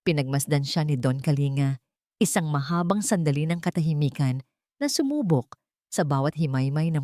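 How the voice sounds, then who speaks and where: A woman's voice: low-pitched, warm tone, dry recording, clean studio sound. A woman with a Filipino accent narrating a dramatic story from a script. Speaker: audiobook narrator.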